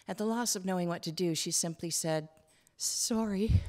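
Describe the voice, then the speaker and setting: A woman reading from a novel in an expressive storytelling manner at a live book launch. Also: fairly low pitch, quick pace. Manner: storytelling, acts out dialogue. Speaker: author reading from own novel. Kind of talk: expressive literary reading to an audience.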